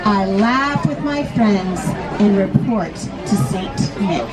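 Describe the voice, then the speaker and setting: A woman's voice: mid-range voice, expressive, slow pace. A woman storytelling to an audience of children, reading a picture book aloud and putting simple questions to them. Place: large busy toy store event. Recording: busy public event, crowd noise, loud music.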